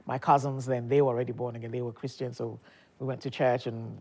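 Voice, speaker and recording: Woman, 50s, from Nigeria, pastor guest; deep voice, chatty, laughs easily, restarts often. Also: flat delivery, quick pace, darkish tone, poor audio. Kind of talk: casual interview talk.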